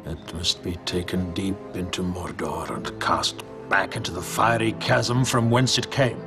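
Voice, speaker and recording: An actor playing an ancient elf lord who speaks with slow, grave authority over a council, in a film scene. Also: male voice, low-pitched, fairly even intonation, over music. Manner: slow, grave, measured pronouncements. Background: orchestral film score.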